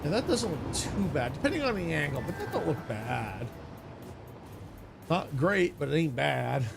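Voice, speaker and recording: A tired man with a raspy voice, chatting casually and muttering to himself while streaming a building game. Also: a little high, very animated, moderate pace. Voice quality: raspy, gravelly. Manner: mutters to himself, self-critical, rambling. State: tired, yawning. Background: game music.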